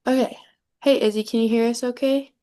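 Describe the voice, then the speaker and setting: A woman's voice: a little high, steady tone, brisk, dark tone. A woman in her twenties from Tennessee, a college student casually leading introductions on a video call, friendly and a bit hesitant with lots of ums.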